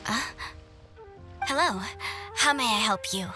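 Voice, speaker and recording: A woman's voice: fairly high pitch, takes it slowly, pushed and bright, faint music behind. A woman playing a polite, helpful receptionist in a video game, speaking formally. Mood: polite, hesitant. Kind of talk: video game character dialogue.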